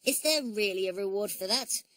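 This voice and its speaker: A woman voicing an eager, excitable little toy boy in a storybook reading, chirpy and earnest. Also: mid-range voice, moderate pace, no echo, pitched above the performer's own voice, close to an everyday voice.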